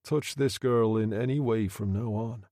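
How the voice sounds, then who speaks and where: A man voicing a calm, quietly menacing Irish gentleman who speaks in a soft, conversational tone. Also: on the low side, a little slow, dry recording, clean studio sound, close to an everyday voice. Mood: quiet menace.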